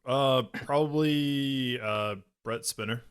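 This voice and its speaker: Male co-host, average pitch, informal conversational delivery, everyday guy in a group chat. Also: slow pace, clear, resonant tone. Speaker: podcast co-host. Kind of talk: casual panel chat.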